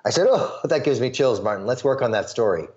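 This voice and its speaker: A man's voice: mid-range voice, fast. Midwest guy coaching on sales stories, does little voices for the people he quotes, kinda muffled audio. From suburbs of Chicago, US Midwest. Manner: animated storyteller, acts out quoted voices. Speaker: guest, sales pitch coach. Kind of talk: interview answers with stories.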